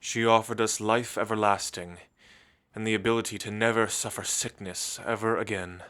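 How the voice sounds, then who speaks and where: A man playing an elegant, centuries-old vampire in an ASMR roleplay, suave and theatrical, with musing pauses. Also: on the low side, fairly even intonation, fairly bright tone, smooth, natural voice. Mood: wistful, solemn. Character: centuries-old elegant male vampire, languid, teasing, world-weary.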